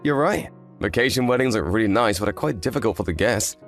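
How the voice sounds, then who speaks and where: A man acting a fast-talking earnest young husband. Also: lowish voice, lively intonation, faint music behind. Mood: frank, curious. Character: devoted young husband, earnest, grateful, a little insecure. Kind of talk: scripted dialogue in an audio story.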